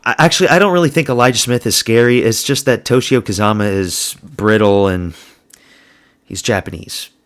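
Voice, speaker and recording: A man's voice: on the low side, moderate pace, a bit bright. A man giving a blunt, crude, slang-heavy solo review of fight results. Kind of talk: casual solo commentary.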